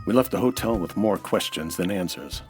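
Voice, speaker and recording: A man with an American accent playing a world-weary, hardboiled nightclub owner narrating and talking in deadpan 1940s radio noir style. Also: deep voice, brisk, faint music behind. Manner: dry, wry, deadpan.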